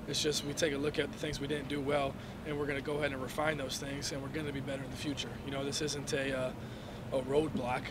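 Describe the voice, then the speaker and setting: Young man, fast-talking, flat delivery, post-game presser, loud noisy backdrop. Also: mid-range voice. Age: young adult. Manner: measured, filler-heavy answers with many "you know"s. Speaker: college football quarterback. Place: indoor hallway before a sponsor backdrop. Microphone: handheld reporter mics and phones held toward him.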